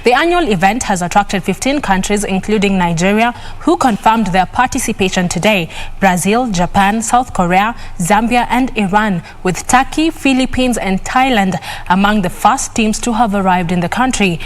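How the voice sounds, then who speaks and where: A woman's voice: medium pitch, moderate pace. Woman reading sports news. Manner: crisp, steady newsreader delivery.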